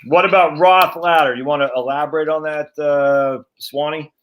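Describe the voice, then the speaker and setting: A man's voice: fairly high pitch, steady tone, moderate pace, fairly bright tone. A man in his forties hosting a webinar, talking in a chatty, informal way with casual slang over a video call from a room at home. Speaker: webinar host.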